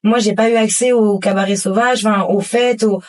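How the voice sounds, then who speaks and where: A woman's voice: medium pitch, fairly even intonation, fairly quick. A woman co-hosting a casual podcast, talking conversationally with many verbal fillers. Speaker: podcast co-host.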